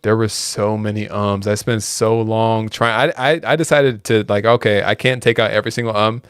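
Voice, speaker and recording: A man hosting a casual podcast, relaxed and easygoing, reading out viewer questions. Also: fairly low pitch, moderate pace, clean tone, no echo, clean studio sound. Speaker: podcast host.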